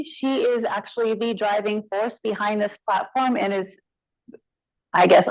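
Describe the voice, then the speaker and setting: A woman's voice: medium pitch, no echo, low-quality recording. A woman nurse informaticist giving a conference presentation into a mic, conversational with frequent fillers and asides.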